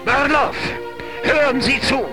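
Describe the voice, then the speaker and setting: A man's voice: very high voice, measured pace, loud music behind the voice. An actor playing an impatient, bossy male superior, barking exasperated demands in a radio drama. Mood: angry, commanding. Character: irate male boss in Moscow, bossy, impatient. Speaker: agent's superior. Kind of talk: German radio drama acting.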